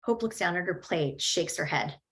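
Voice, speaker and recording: A woman's voice: fairly low pitch, expressive, moderate pace, fairly bright tone. Woman plainly reading stage directions.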